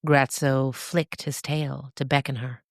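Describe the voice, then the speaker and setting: Woman, low pitch, podcast storyteller, scripted narration, measured dramatic phrasing, deliberate pauses. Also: level tone, no echo, studio quality.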